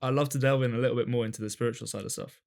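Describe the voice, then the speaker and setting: A man's voice: medium pitch, steady tone, very fast, vocal fry. A man hosting a podcast, asking loose, casual questions with fillers. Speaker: podcast host. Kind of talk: casual interview chat.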